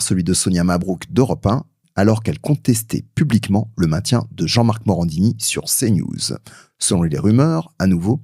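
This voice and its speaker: A man's voice: low voice, darkish tone, husky, no echo, studio quality. A man hosting a podcast, reading a scripted introduction and news update. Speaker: podcast host presenting news.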